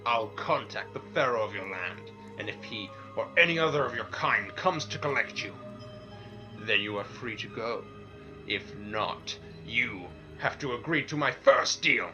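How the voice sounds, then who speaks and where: A man playing an arrogant god of darkness in a dramatic story reading, with a slow, scoffing, threatening manner. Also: medium pitch, lively intonation. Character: menacing, arrogant male god of darkness and disorder. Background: music.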